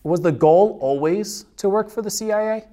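A man who is a police officer hosting a casual podcast interview, polite and filling pauses with um and uh. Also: high voice, dark tone. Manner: polite, many ums and uhs.

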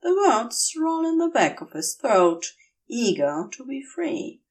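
Woman reading fiction slowly, expressive, higher voice, a bit away from the mic. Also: distant mic. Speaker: podfic narrator.

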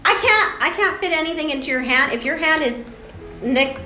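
A woman's voice: high-pitched, expressive, normal speed, pushed and bright, reverberant, soft background music. A woman from Hawaii, a guest in a casual chat, who tells stories and acts out little voices.

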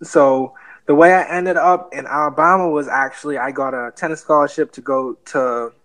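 Casting note: twenties Bahamian man, slightly high voice, relaxed podcast guest with fillers. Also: moderate pace. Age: 20s. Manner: relaxed, earnest, many fillers. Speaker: guest real estate investor. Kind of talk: podcast interview.

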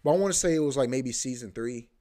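Young male podcast host, rambling and profane, filler-heavy, mid-pitched. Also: fast talker, dark tone. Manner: loose and profane, heavy filler like "bro" and "you know what I mean"; repeats himself, often restarts. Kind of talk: casual rambling monologue.

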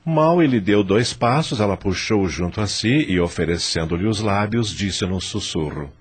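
Male narrator for radio drama: deep, husky, animated delivery. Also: normal speed. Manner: expressive storytelling.

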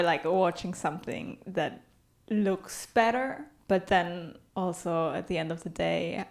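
A woman's voice: medium pitch, creaky. A woman from Germany with a German accent, co-hosting a podcast in casual chat and laughing often. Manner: giggly, laughs often between remarks. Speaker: podcast co-host.

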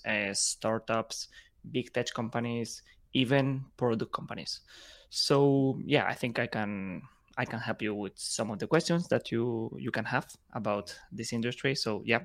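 Halting man. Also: medium pitch. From Ukraine. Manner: halting, repeats words as he thinks. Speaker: guest, software engineer. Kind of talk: casual interview chat.

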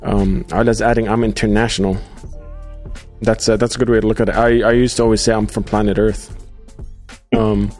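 A man hosting a live podcast, reading out viewer comments in a casual, halting way with many fillers. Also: fairly low pitch, level tone, a little mellow, faint music behind. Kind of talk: casual livestream chat.

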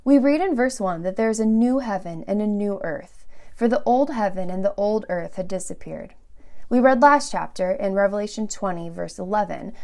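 A woman's voice: mid-range voice, vocal fry, darkish tone. Congested woman hosting a Bible podcast. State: congested from allergies. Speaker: podcast host.

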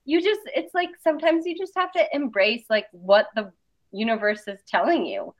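A woman chatting casually about creative work, talkative and animated in her storytelling. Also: on the high side, normal speed. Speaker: artist and interviewer. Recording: dull lo-fi sound.

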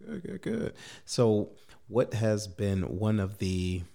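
A man hosting a casual podcast interview, energetic, greeting live listeners by name. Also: on the low side, slow pace, vocal fry. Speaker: podcast host.

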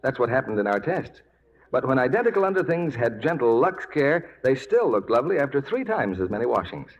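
A man's voice: mid-range voice, very animated, fairly quick. A man working as a polished 1940s radio announcer, delivering advertising copy. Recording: dull, noisy 1940s radio recording.

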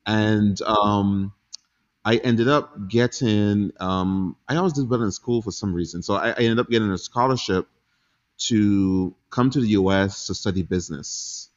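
A man in his forties from Barbados, a guest chatting casually in an interview, relaxed, laughing easily and pausing with ums. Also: fairly low pitch, dull audio. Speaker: guest entrepreneur.

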